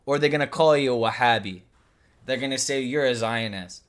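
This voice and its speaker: A man's voice: medium pitch, fairly quick, smooth. A man from Lebanon giving a heated reaction commentary, arguing emphatically and hammering the same points again and again. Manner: argumentative, emphatic, repeats points, rising to near-shouts.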